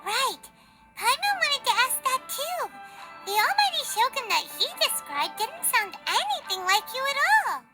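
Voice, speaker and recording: A tiny childlike fairy, extremely high and squeaky, with animated swoops in pitch. She is a chatty, soft-hearted game sidekick, heard over loud music. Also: normal speed. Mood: curious. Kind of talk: video game voice acting.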